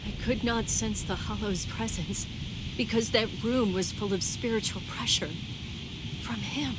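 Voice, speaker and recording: An actress playing a solemn, proud female warrior in a video game, speaking in formal, measured phrases. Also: medium pitch, noisy background, natural voice. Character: solemn, composed female Soul Reaper warrior, proud and grave. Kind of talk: dramatic English video game voice acting.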